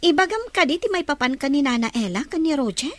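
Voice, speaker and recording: Woman acting a high-voiced inquisitive Filipino confidante. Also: very animated, fast talker, no room sound. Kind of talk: Ilocano audio drama acting.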